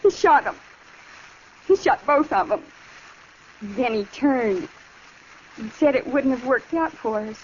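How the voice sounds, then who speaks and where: An actress playing a distraught, wounded, heartbroken young frontier woman in a 1950s radio western, speaking in a halting, fragile way. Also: on the high side, animated. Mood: anguished. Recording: muffled, hissy 1950s radio recording.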